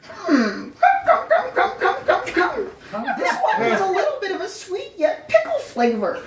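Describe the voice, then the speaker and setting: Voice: extremely high pitch, wildly swooping pitch, measured pace, recorded from a distance, pitched above the performer's own voice, heavily acted. A performer voicing a cheerful, naive little cartoon hamster with bubbly, childlike exclamations. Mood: happily munching. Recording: echoey live room.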